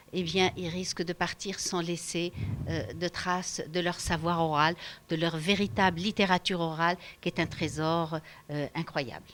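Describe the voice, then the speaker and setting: A woman's voice: mid-range voice, slight background noise. A woman from Algeria, a storyteller being interviewed for radio at a festival, talking with many hesitations and fillers. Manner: hesitant, many fillers, warm laughter when animated. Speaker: storyteller guest.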